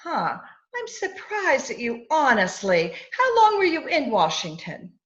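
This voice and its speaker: A woman's voice: high voice, wildly swooping pitch, a little slow, a bit bright, heavily acted. A woman playing a haughty, exasperated fiancee in old-time radio drama style, theatrical and insistent, on dull video-call audio. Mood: incredulous.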